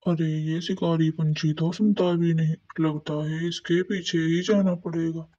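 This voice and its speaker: A woman's voice: sits low, fairly even intonation, a little slow, mellow, a little hiss or hum, pitched below the performer's own voice. A woman voicing an anxious male crow who warns others in an urgent tone. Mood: calling out. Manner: urgent, warning. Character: friendly male crow, hurried and worried. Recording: dull, muffled, from off-mic.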